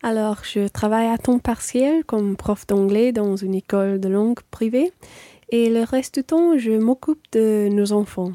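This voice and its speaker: A woman's voice: a little high, normal speed, dark tone, dry recording, clean studio sound, close to an everyday voice. A woman playing a friendly working mother chatting over dinner in a scripted language-course radio play. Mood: conversational.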